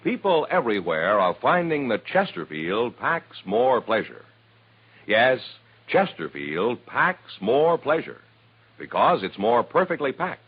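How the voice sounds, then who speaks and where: A man's voice: mid-range voice, sing-song, unhurried, slightly rough. Male American announcer, vintage radio sponsor spot, very wide swinging intonation, music behind, muffled sound. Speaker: commercial announcer. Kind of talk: 1950s radio advertising read.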